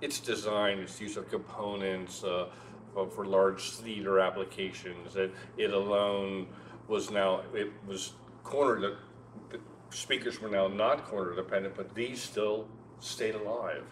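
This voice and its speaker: A man's voice: on the low side, fairly even intonation, unhurried, rough recording. A man in his sixties conducting a conversational interview, repeating short phrases of agreement. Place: indoor show room, seated. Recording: background noise with faint hum.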